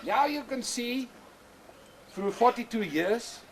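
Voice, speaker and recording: An older man with an Afrikaans accent, whose first language is Afrikaans, talking plainly and repetitively in halting English as a goat breeder outdoors on a farm. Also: very high voice, expressive, a little hiss or hum. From South Africa. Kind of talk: casual explanation on a farm.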